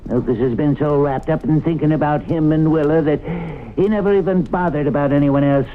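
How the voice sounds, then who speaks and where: A man's voice: fairly high pitch, a little fast, natural voice. An actor playing an insistent, earnest small-town businessman who argues his case in an old radio drama. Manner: earnest, argumentative. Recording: tinny, noisy old radio broadcast.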